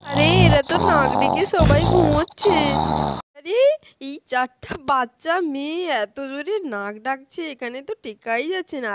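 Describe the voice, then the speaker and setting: A nagging neighbourhood auntie as a woman storyteller plays her scolds insistently. The voice is high and dryly recorded. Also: no room sound, studio quality. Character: nagging, loud neighbourhood auntie waking sleeping children.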